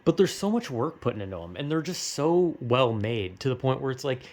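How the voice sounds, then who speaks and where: A man's voice: medium pitch, very animated, moderate pace, darkish tone. A young American man talks off the cuff in a loose, rambling way. His speech is slangy and full of swearing, like casual opinion commentary among friends. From United States. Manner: rambling, slangy, heavily profane.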